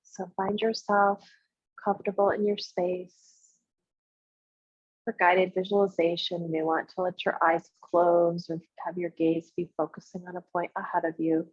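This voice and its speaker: A woman leading a guided visualization as a meditation guide, speaking in short, unhurried instructional phrases with pauses between them, in a slightly husky voice. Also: lowish voice, flat delivery. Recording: muffled, roomy, echoey sound.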